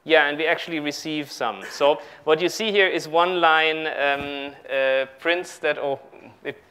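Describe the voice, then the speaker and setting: A man in his thirties speaking haltingly as he improvises a live technical demonstration as a conference speaker. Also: fairly high pitch, fairly even intonation, pushed and bright, slight background noise. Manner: halting, improvising while working at a laptop. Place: large auditorium on stage with audience.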